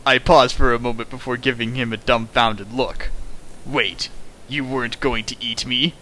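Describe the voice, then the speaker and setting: A man's voice: medium pitch, fairly even intonation, moderate pace, sharp tone, poor audio. A young man reading a bad fanfic aloud and riffing on it with sarcastic, exasperated rants. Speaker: fanfic riffer. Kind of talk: comedic dramatic reading and riffing.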